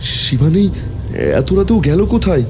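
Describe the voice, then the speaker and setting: A man's voice: high-pitched, wildly swooping pitch, unhurried, darkish tone, soft background music, pitched above the performer's own voice, full character voice. A man voicing an anxious, devoted uncle who guards an orphaned child in an audio story, emotional and protective. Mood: frightened urgency.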